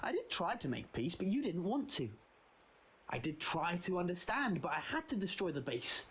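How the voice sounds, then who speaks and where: A man playing a quirky, quick-witted time traveller in an audio drama, flippant yet assertive. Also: sits high, sing-song, a bit bright, breathy edge, full character voice. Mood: defiant.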